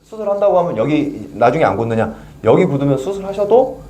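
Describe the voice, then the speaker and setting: A man, a pain clinic doctor, explaining neck muscles and exercises to a patient in a relaxed, plain-spoken way. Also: on the high side, fast talker, slight background noise. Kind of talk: explanatory health talk with patient.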